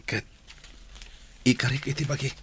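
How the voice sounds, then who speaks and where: A man's voice: mid-range voice, steady tone, a little slow, a bit bright, lightly acted. Man acting solemn young student, faint noise. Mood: heartfelt resolve. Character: young male student, grateful, heartsore, determined. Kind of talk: radio drama acting.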